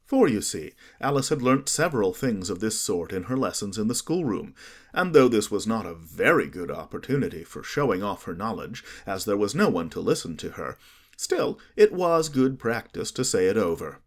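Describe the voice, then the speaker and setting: A man narrating an audiobook in an expressive storytelling manner. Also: fairly low pitch, moderate pace.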